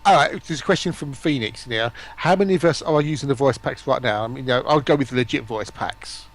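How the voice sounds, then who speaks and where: Male panelist, average pitch, informal livestream conversation. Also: brisk. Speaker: stream panelist. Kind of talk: casual livestream chat.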